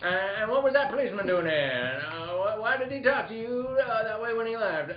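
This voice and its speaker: Someone doing a fussy nosy super, stuttery, indignant, pitch all over, echoey room, radio play. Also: animated, fairly quick, slight background noise, lightly acted. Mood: flustered, nosy. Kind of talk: old-time radio detective drama reenactment. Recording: roomy, dull live recording.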